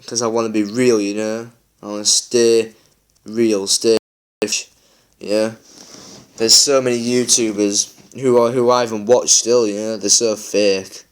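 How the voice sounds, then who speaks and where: A man chatting casually while playing a mobile game, tired and a bit croaky, rambling with lots of fillers. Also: lowish voice, little pitch movement, measured pace. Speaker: gaming YouTuber. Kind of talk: casual gameplay chat.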